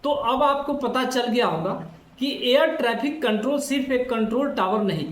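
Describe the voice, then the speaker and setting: A man's voice: very high voice, normal speed. A man reading a scripted educational explainer, addressing listeners directly in an explanatory way. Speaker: narrator of an educational explainer video. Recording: roomy echo, faint background noise.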